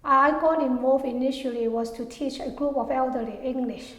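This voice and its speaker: A woman's voice: high-pitched, steady tone, moderate pace. A middle-aged woman from Hong Kong, recalling her life in an oral history interview, hesitant with many fillers and endings that trail off. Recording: echoey room with long reverb.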